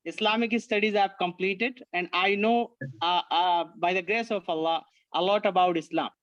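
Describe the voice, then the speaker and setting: A man with an Indian accent speaks earnestly on a video call as a guest, halting often with fillers and restarts. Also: high voice, steady tone, a bit bright. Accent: Indian English. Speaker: guest, online English teacher. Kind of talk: casual video-call chat. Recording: slightly dull, lo-fi call audio.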